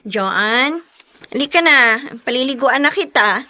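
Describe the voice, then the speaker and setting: A woman's voice: sits high, animated, a little slow, no room sound, exaggerated voice. A caring young mother. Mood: motherly. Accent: Filipino. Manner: gentle, motherly.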